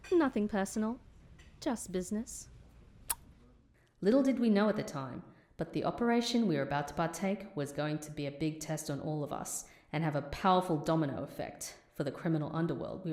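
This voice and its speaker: A woman's voice: low-pitched, lively intonation, a little fast, a little mellow. An actress playing a tough, no-nonsense female agent, firm and dry, in an audio drama. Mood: reflective. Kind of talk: audio drama dialogue and narration.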